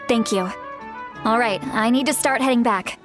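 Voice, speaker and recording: A woman's voice: fairly high pitch, normal speed, faint music behind, lightly acted. A woman playing a composed young leader in a game who speaks firmly. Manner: firm, composed. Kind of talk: game dialogue.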